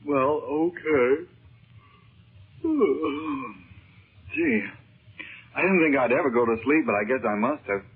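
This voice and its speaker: An actor playing an eager, worried teenage son in a 1930s radio serial, boyish, earnest and slangy. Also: male voice, medium pitch, expressive, slow, long pauses, breathy edge. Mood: worried, glum. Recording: muffled, tinny 1930s radio recording.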